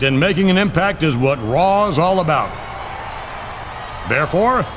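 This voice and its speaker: A firm wrestling general manager with a wry edge, somewhat high and animated. He makes emphatic pronouncements in a video game, with clear noise around him. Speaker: wrestling show authority figure. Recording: clearly noisy arena sound.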